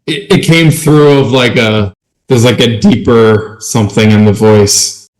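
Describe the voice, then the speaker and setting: A man's voice: medium pitch, measured pace, rough recording. A man co-hosting a podcast, chatting casually about tabletop gaming. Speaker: podcast co-host.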